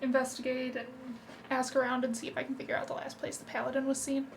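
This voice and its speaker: A woman in her twenties plays a tabletop game and talks through her choices in an easygoing way. Her voice sits high. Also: monotone, quick pace, creaky, background noise. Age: 20s. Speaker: player. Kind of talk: casual chat at a tabletop game.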